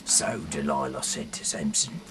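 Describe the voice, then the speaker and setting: A man reading scripture aloud in a halting, rambling way, often correcting himself and dropping in casual asides. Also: very deep voice, expressive, background noise. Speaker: amateur reader of a Bible audio series.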